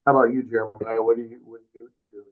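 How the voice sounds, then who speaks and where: A man's voice: mid-range voice, level tone, quick pace. A man with an Upper Midwest American accent, co-hosting a school facilities webinar from his office, talking in a plain, modest, rambling way. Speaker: co-host, school facilities manager. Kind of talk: casual webinar talk. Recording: tinny phone-like audio.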